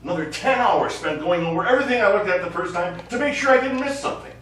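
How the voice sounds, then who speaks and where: A man on stage playing a quiet, guarded astronaut who explains himself earnestly and a little defensively to his crew. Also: high voice, expressive, quick pace. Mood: earnest, explanatory. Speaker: stage actor in a short play. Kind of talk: live stage play dialogue. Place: small theater studio, recorded from audience distance. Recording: echoey hall, distant mic, faint noise.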